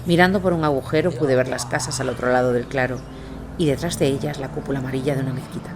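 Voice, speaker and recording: A man reading a scripted first-person war account as its narrator. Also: on the high side. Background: music.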